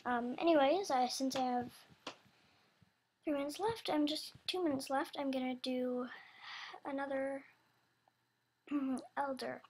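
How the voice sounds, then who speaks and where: A young woman with a high, youthful, slightly childish voice talks casually and off the cuff. She speaks slowly, rambling and hesitating as she searches for the right words. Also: steady tone, a little mellow, slight background noise. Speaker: video creator announcing animation voice auditions. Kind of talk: casual unscripted chat.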